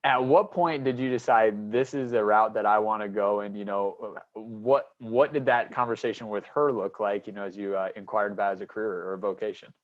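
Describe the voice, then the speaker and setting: A man's voice: on the low side, steady tone, fairly quick, few pauses. A man hosting an interview, affirming his guest and asking rambling questions full of "you know.". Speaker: podcast host. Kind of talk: conversational interviewing. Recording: slightly dull lo-fi sound.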